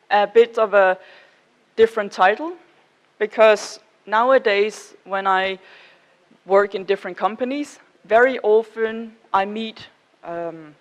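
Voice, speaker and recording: A woman with a Danish accent speaks as a conference presenter. Her voice sits at a middling pitch for a woman. She talks informally and in a measured way, addressing her listeners directly. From Denmark.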